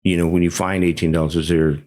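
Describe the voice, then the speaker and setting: Middle-aged veteran guest with a very low voice, talks candidly with lots of restarts. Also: male voice, level tone, a little fast, darkish tone. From United States. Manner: candid storyteller, frequent restarts and asides. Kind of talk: casual conversational interview.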